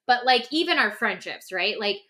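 A woman co-hosting a podcast in a casual, reflective conversation, talking in an animated, informal way with lots of "like". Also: on the high side, moderate pace. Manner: animated, informal, peppers talk with "like". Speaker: podcast co-host.

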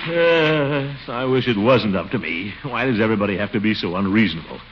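An actor playing a flustered, pompous middle-aged uncle and commissioner in a blustering, sputtering old radio comedy style. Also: male voice, on the low side, expressive, a little fast, lightly acted. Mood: worried, hesitant. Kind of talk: 1940s-50s radio comedy acting. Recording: noisy, muffled old radio broadcast.

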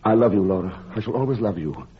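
An actor playing an earnest, tender gentleman in love, in an old radio drama, with a husky voice. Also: fairly low pitch, fast talker. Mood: tender, intense. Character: earnest, lovelorn English gentleman, tender and resigned. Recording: muffled old radio recording.

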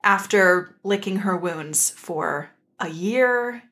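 A woman's voice: medium pitch, slow pace, a bit bright. A woman co-hosting a podcast, giving an enthusiastic, casual story recap in a playful, slangy way. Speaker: podcast co-host.

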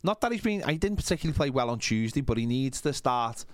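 A man's voice: medium pitch, lively intonation, quick pace, no room sound. A man discussing football casually as a podcast panellist in a studio, thinking aloud somewhat hesitantly.

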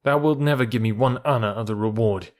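A man's voice: mid-range voice, fairly quick, close to an everyday voice. A man voicing a proud, scornful herdsboy raised by wolves in a storybook reading, assured and archaic in wording. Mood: calm, defiant.

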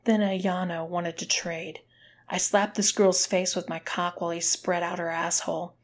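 A woman's voice: lowish voice, little pitch movement, normal speed, natural voice. A woman voicing a guilt-ridden, submissive man in an audiobook story, speaking with agitated intensity. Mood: anguished, bitter.

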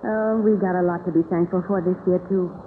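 A woman's voice: lowish voice, fairly even intonation, fairly quick, lightly acted. Woman playing fretful farm wife, tinny radio. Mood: drowsy, homely. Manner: fretful, folksy. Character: anxious, homely farm wife in rural Vermont. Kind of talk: 1940s radio acting. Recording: noisy, tinny old radio recording.

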